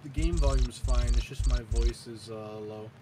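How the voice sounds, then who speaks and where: A man's voice: medium pitch, moderate pace, very noisy. A man chatting casually with friends while streaming a game, often checking the audio. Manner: hesitant, checks audio levels. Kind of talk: casual gaming chat.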